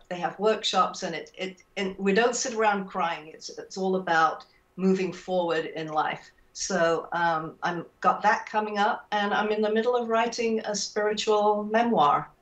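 An English woman in her sixties talks over a video call from a home room lined with bookshelves. Her voice sits a touch low and comes across measured and thoughtful, with the odd um. The room is echoey and she sounds a little distant from the mic. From England. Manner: thoughtful, measured, with frequent um fillers. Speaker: guest author. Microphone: video call webcam.

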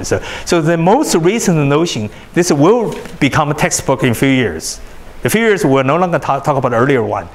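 A man whose native language is Chinese, giving a university lecture in a large hall, animated and often repeating words as he restarts sentences. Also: mid-range voice, a little hiss or hum. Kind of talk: classroom lecture.

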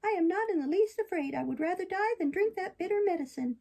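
A woman's voice: extremely high pitch, expressive, quick pace, mellow, voice pushed up. A woman voicing a stubborn wooden puppet boy in a storybook, childish and thin, with plaintive protests. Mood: tearful defiance.

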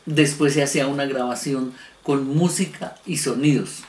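A man's voice: mid-range voice, moderate pace. A man, a veteran radio actor reminiscing in an interview. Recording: roomy, faint background noise.

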